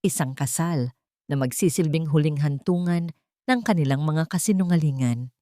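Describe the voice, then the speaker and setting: Cold, ironic wealthy heroine out for revenge, read by a female narrator, radio-drama style, lowish voice. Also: mellow, dry recording, clean studio sound, lightly acted. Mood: cold, vengeful. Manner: ironic, measured. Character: cold, vengeful wealthy woman designer, determined.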